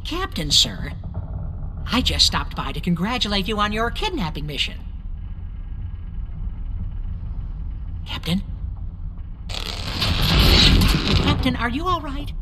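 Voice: mid-range voice, sing-song, normal speed, bright, forceful tone, a little hiss or hum. A performer playing a nervous, obsequious ghost pirate underling in a video game, anxious and stammering. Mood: fawning. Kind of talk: video game character acting.

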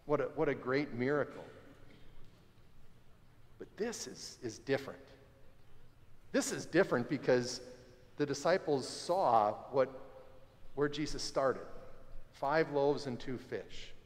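A man in his forties preaching a sermon as pastor, conversational and animated, standing by the altar of a church sanctuary. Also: medium pitch, measured pace, pauses often. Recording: long church reverb.

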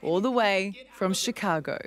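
A woman's voice: medium pitch, unhurried. A woman with an Australian accent reading scripted narration as a television reporter.

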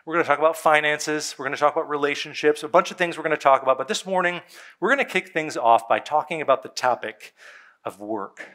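A man, a pastor preaching a sermon on a church stage, conversational and emphatic, with dramatic pauses and soft drops in his voice. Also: on the high side. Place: church stage before a seated congregation.

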